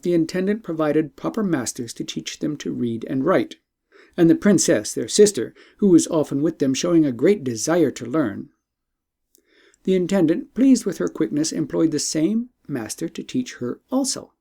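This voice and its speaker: A man narrating an old tale as an audiobook in a measured, formal storytelling manner. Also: a little high, very animated, creaky, warm tone.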